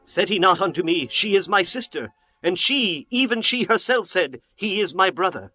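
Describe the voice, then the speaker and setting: A righteous king of the ancient world, fairly high in voice and declamatory. His phrasing is formal and archaic, in the manner of dramatized scripture. Music plays behind his words. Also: expressive, moderate pace, bright, forceful tone, full character voice, rough recording. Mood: pleading, indignant. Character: indignant righteous ancient king confronting and pleading. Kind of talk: dramatized scripture audiobook acting.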